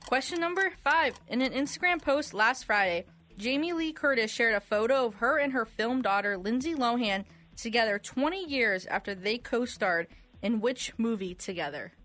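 A woman's voice: fairly high pitch, lively intonation, normal speed, a bit bright. A woman co-hosting a radio quiz, reading trivia questions in a conversational way.

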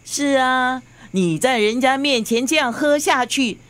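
A woman's voice: high-pitched, measured pace, fairly bright tone. A woman playing a scolding, sarcastic, exasperated grandmother in a radio drama, with a Singaporean accent.

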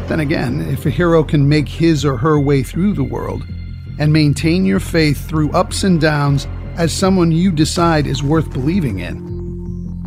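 A man narrating a documentary from a script in a measured, reflective way. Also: a little high, gravelly.

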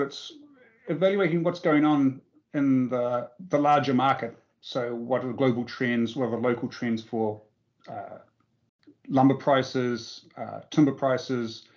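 Kiwi guy, middle aged, measured halting answers on a podcast, kinda dull lo-fi sound. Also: medium pitch, a little mellow. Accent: New Zealand. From Auckland, New Zealand. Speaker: interview guest, forestry investment professional. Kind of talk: conversational interview answers.

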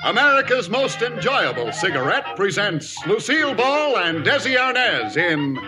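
A man's voice: high voice, very animated, moderate pace, music in the background, low-quality recording. A man announcing a 1950s radio commercial in an emphatic, formal sales style. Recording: vintage 1950s radio broadcast.

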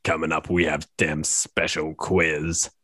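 Deep-voiced man radio host, dry. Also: level tone, sharp tone, no room sound. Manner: brisk announcer delivery. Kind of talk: radio links and announcements.

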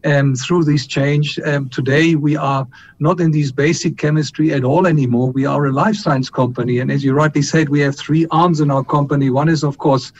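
A middle-aged man from Colombia talks through his answers in a measured way, pausing on fillers and restarting phrases. His voice sits a little high for a man. It comes through a dull, muffled line with a faint rumble behind it. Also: fairly even intonation. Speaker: company chief executive, guest. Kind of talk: interview answers.

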